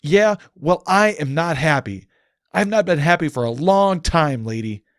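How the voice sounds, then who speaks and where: A man's voice: fairly high pitch, expressive, unhurried, no echo, clean studio sound, voice pushed up. A man voicing a bitter, tormented immortal man in an audiobook, roaring with anguish and confrontation. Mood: angry. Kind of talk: dramatic audiobook character acting.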